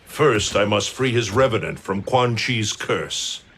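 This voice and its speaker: A solemn, low-voiced god. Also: male voice, lively intonation, fairly bright tone, slight background noise. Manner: solemn, measured. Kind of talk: video game cutscene acting. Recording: game audio with faint score.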